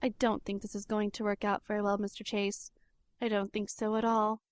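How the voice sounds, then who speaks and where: A woman playing a haughty, sharp-tongued young 1930s heiress, formal and dismissive, in a noir audio drama. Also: mid-range voice, little pitch movement, fairly quick, smooth, natural voice. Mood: cool, teasing.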